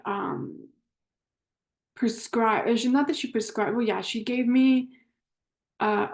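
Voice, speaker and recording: A woman's voice: mid-range voice, darkish tone. A young woman from Miami introducing herself to a class in a casual, rambling way that often corrects itself. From Miami, Florida. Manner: rambling, self-correcting, conversational.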